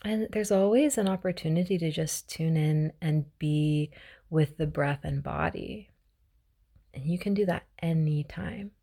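A young adult woman hosting a mindfulness podcast, talking in a chatty, reflective way with many restarts and now and then dropping to a soft whisper. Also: on the low side. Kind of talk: casual reflective monologue.

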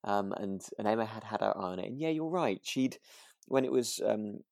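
A British man co-hosting a podcast in casual chat, tentatively softening his opinions with little asides. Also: lowish voice, animated, fairly quick, vocal fry, no room sound, clean studio sound. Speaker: podcast co-host, actor.

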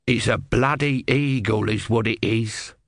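A man voicing a small, grumpy tortoise god in a resigned, deadpan, vengeful mutter for a storybook. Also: medium pitch, unhurried, dry recording, clean studio sound, close to an everyday voice. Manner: dull, deadpan, muttering grumbles and threats.

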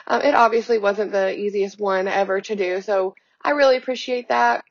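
A young woman hosting a casual podcast, her voice a little hoarse, talking in an upbeat, chatty way with many fillers, recorded from a hotel room. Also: medium pitch, steady tone, normal speed, muffled recording. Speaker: podcast host. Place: hotel room, sitting on a bed.

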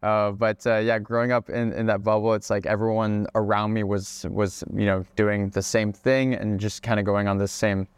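A young man raised in Hawaii, a guest on a casual podcast interview, talking in a relaxed way with plenty of fillers and restarts. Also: lowish voice, moderate pace, hardly any pauses, clean tone, no room sound, clean studio sound. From Czech Republic, raised in Hawaii. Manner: relaxed, many fillers and restarts, laughs often. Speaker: guest, travel creator.

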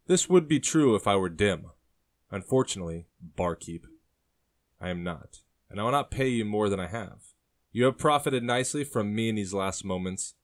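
A stern young traveler in a historical story voiced by a male narrator, low in pitch and direct. He is guarded and proud, wasting few words. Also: a little slow. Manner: plain, direct, terse.

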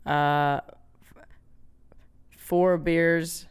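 A woman's voice: low voice, flat delivery, extremely slow, clean tone. A woman co-hosting a podcast in casual comic chat, telling rambling stories in slangy, joking language. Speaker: podcast co-host.

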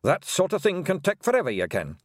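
Casting: small, excitable Scottish pixie warrior chief, animated storybook delivery, raised pitch, fierce bluster. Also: male voice, fairly quick, no echo, studio quality, full character voice. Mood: relieved, cheerful. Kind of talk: audiobook character acting.

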